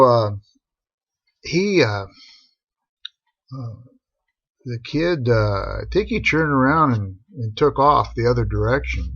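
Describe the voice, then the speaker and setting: Middle-aged male storyteller, laid-back and slangy, gravel in the voice, dull lo-fi stream sound. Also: medium pitch, fairly bright tone, no echo. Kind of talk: casual rambling personal anecdote. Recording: dull, boxy lo-fi live stream audio.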